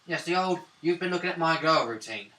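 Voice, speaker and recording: A man takes part in casual tabletop roleplay with a dry, wry manner. His bright, medium-pitched voice rings in an echoey room. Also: expressive, brisk, a little hiss or hum. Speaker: tabletop game player. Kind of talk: casual in-character roleplay chat.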